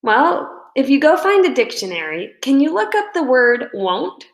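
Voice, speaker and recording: A woman's voice: sits high, sing-song, unhurried, pitched above the performer's own voice, full character voice. A woman reading a children's storybook, playing an annoying, cocky schoolboy with a mocking, needling manner. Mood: confident, matter-of-fact. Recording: roomy indoor echo.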